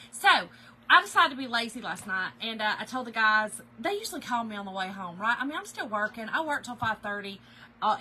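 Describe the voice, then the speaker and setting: A middle-aged woman hosts a craft livestream from her home office, her voice a bit hoarse. The tone is very bright and fairly high, and the chatty, drawling talk runs over a faint hiss of room noise. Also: poor audio. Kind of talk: casual livestream chat greeting viewers.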